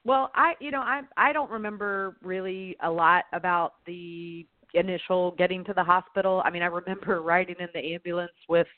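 A woman's voice: lowish voice, fairly even intonation, brisk, few pauses, no room sound. A woman interview guest recounting her own experience in the first person, often repeating phrases as she searches for words. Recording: tinny narrow phone-like sound.

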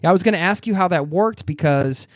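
A man's voice: high voice, quick pace, no room sound, studio quality. A man hosting a podcast interview in a casual, chatty way, laughing often and using plenty of fillers. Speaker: podcast host.

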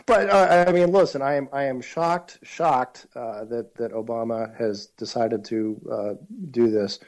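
A man co-hosting a political discussion, speaking casually with a wry, halting delivery. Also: medium pitch, steady tone. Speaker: political podcast co-host.

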